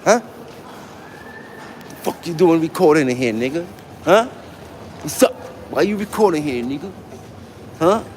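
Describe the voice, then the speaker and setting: A man's voice: on the high side, animated, measured pace, long pauses, darkish tone. A man livestreaming casually from a public building, chatting to viewers in blunt, slangy, profane talk. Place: public library building, on the move. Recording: noisy live handheld stream.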